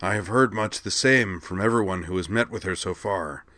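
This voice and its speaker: A man voicing a formal, courteous male alien, speaking in a polite, measured way. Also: sits low, bright, forceful tone, no room sound. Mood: disgruntled.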